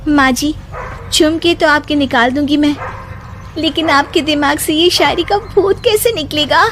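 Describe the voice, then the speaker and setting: A woman playing a sharp-witted young daughter-in-law with a pert, emphatic manner in a cartoon moral story. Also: sits high, unhurried, a little hiss or hum. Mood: complaining. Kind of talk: animated moral story dialogue. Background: background music.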